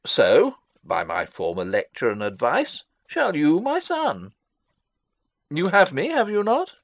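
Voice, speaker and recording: A man's voice: a little high, wildly swooping pitch, slow pace, no echo, exaggerated voice. An actor playing a fussy, long-winded old father and royal counsellor in a theatrical Shakespeare reading, pompous and rambling. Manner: rambling, pompous, theatrical, losing his thread. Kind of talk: dramatic reading of a Shakespeare play.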